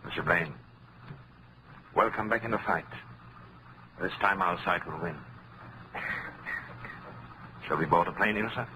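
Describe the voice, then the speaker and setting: An actor playing a dignified, earnest resistance leader in a 1940s radio drama, formal and composed. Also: male voice, deep voice, normal speed, close to an everyday voice. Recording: slightly noisy old radio recording.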